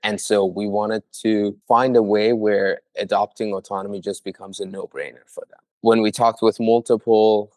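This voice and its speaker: A man's voice: on the low side, little pitch movement, moderate pace, few pauses, darkish tone, no echo. A young man who founded a startup, answering interview questions earnestly with frequent filler words.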